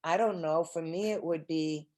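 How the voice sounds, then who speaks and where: A woman's voice: sits low, moderate pace, a little mellow. A woman taking part in a casual, reflective group discussion, thinking aloud as she talks. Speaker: discussion participant.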